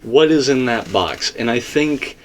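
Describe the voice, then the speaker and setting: A man's voice: mid-range voice, lively intonation, a little slow. A man hosting a casual theory discussion, talking in a rambling, emphatic way. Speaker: host.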